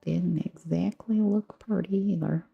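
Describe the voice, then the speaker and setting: A woman's voice: fairly low pitch, measured pace, gravelly, slightly rough, no echo, dull audio. A woman from Texas with an American accent, chatting casually as a livestream host in a rambling, playful, self-deprecating way. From Texas, United States. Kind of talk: casual chat with viewers while crafting. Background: background music.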